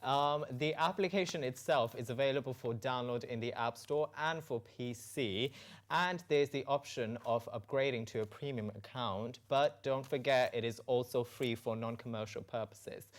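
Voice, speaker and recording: A man co-hosting a studio tech show, playful and teasing, with quick reactions to his co-host. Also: mid-range voice. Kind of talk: presenting a studio tech segment with banter.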